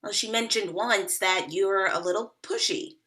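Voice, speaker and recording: A woman reads a blunt thirty-something woman with a deadpan edge. Her voice is bright and clear, delivering each put-down flatly. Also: medium pitch, normal speed, close to an everyday voice. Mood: dry, blunt. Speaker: actor playing Megan. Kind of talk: table read of a comedy pilot.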